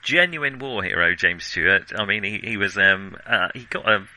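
A man co-hosting a film podcast, chatting casually in a halting, digressive way and often correcting himself. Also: on the low side, lively intonation, pushed and bright, low-quality recording. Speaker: film discussion podcast co-host.

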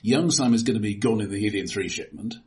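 Man, fairly low pitch, casual film talk, analytical, hesitant restarts. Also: fast talker, a little mellow. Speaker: podcast co-host reviewing films.

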